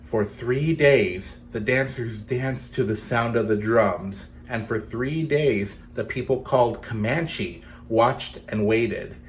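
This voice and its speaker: A man's voice: mid-range voice, takes it slowly, few pauses, slight background noise. A man reading a picture book to children, playfully addressing young listeners as he goes. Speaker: storytime reader for children.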